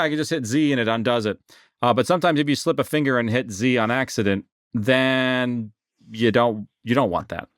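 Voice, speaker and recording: A man narrating a software tutorial in an informal, explanatory way, repeating key words for emphasis. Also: mid-range voice, no echo, clean studio sound. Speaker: software instructor. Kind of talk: casual screen-share tutorial narration.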